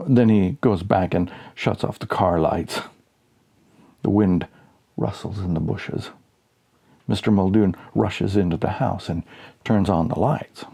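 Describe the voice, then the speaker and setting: A man narrates a comic short story in a dark, husky voice. His delivery is deadpan, building mock suspense through dramatic pauses, with a gravelly edge. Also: on the low side. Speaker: audiobook reader. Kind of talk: scripted humorous story narration.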